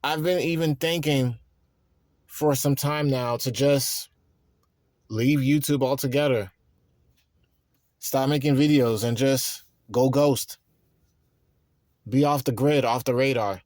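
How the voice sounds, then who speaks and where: A man in his thirties, tired and stressed, talking in a rambling, halting way with frequent restarts in a reflective personal monologue. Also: mid-range voice, a little mellow, no echo, clean studio sound. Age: 30s. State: tired and worn out. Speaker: vlogger.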